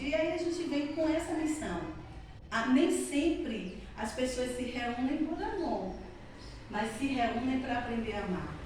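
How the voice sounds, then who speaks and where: A middle-aged woman from Salvador with a Bahian accent, giving an earnest spiritist lecture that leans on repetition and tag questions. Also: fairly high pitch. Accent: Bahian Brazilian Portuguese. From Salvador, Bahia, Brazil. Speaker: spiritist speaker. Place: spiritist center hall. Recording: echoey hall from far off, noisy.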